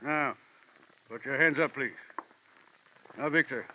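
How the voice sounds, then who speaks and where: An actor playing a pompous, portly, suavely menacing gem crook in an old-time radio drama, speaking in florid, formal, wordy phrases. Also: male voice, medium pitch, slow, highs cut off, a little hiss or hum, close to an everyday voice. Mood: polite menace.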